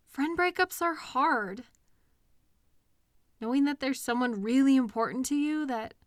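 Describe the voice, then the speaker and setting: A woman playing a caring, protective partner in an intimate comfort audio roleplay, speaking gently and earnestly in a reassuring, conversational way with hesitant pauses. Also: on the high side, dry recording, clean studio sound, natural voice. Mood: tender. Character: caring, protective partner consoling a hurting loved one.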